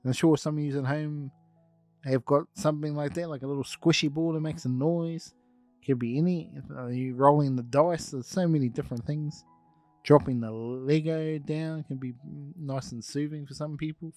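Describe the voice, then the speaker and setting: A man's voice: on the high side, darkish tone, no room sound, natural voice. A man voicing a cheerful puppet boy, with chirpy, sing-song bedtime talk.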